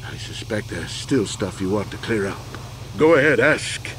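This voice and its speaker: An actor playing a proud, weary old war veteran accused of a crime, earnest and deliberate, in a video game. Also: male voice, fairly low pitch, expressive, a bit bright, slight background noise. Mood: defensive, insistent. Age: older.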